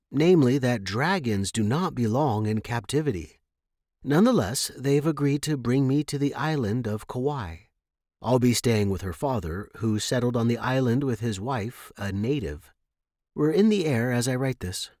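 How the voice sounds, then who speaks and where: A man narrating a story as an earnest young Victorian gentleman biologist, reading his journal in a measured, formal way. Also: medium pitch, no room sound, studio quality, natural voice.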